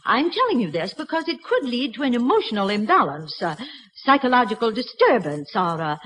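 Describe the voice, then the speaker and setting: An actress playing a prim, fussy schoolteacher with a precise, theatrical manner in a 1940s radio comedy. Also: female voice, on the high side, sing-song, brisk, a bit bright, poor audio. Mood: solemn, comic. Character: prim, fussy schoolteacher who slips into comic slang. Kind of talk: 1940s radio sitcom acting. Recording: vintage 1940s radio broadcast.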